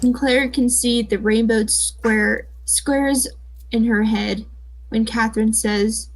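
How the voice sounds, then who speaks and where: A woman's voice: on the high side, steady tone, takes it slowly, low-quality recording. Young woman reading a comic aloud. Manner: reads narration, breaks off to joke and chat. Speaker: comic dub reader. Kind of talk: casual reading of a fan comic with friends.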